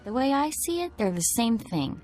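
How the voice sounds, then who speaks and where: An actress playing a young, spirited woman sidekick with a quick, wry way of talking, over game music. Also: a little high, very animated, a little mellow. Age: young adult. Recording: music behind.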